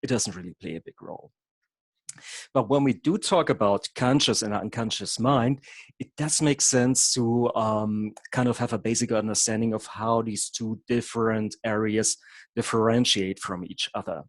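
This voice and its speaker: A man's voice: fairly low pitch, normal speed, no room sound. A man from Germany with a German accent, a hypnotherapist presenting an online workshop in an explanatory way, with occasional stumbles over words. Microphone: video call.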